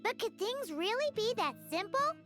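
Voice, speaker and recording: Tiny chatty fairy girl from a video game, super high voice, music behind her. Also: very animated, moderate pace. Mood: storytelling. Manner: exclamatory, speaks of herself by name. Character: tiny childlike floating fairy companion girl, chatty, excitable. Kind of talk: animated video game voice acting.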